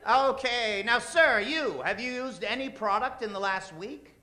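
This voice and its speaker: High, bright-voiced male stage host. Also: measured pace. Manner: theatrical announcer delivery, drawing out names. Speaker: host of a live improvised radio comedy show. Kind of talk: stage hosting, bantering with a studio audience. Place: live on stage in a theater.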